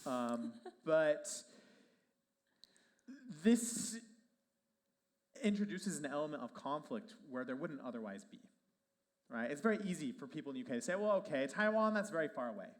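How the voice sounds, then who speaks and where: A man's voice: fairly high pitch, big pitch swings, normal speed, pauses often. A man speaking on a panel in a casual manner, full of "like" and "um". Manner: casual, lots of "like" and "um". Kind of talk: panel discussion.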